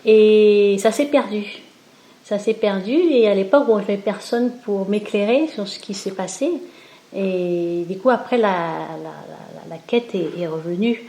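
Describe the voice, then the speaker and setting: A woman from Cambodia, speaking as an interview guest in a gentle, hesitant way with many pauses. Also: medium pitch, echoey room. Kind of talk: spontaneous interview, reflective talk.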